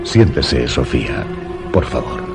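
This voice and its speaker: An actor playing an obsessive, unhinged widowed master of a house in a suspense radio drama, courteous yet intense. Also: male voice, very deep voice, sing-song, slow, creaky, darkish tone, soft background music, full character voice. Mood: controlled, courteous. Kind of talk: Spanish-language suspense radio drama acting.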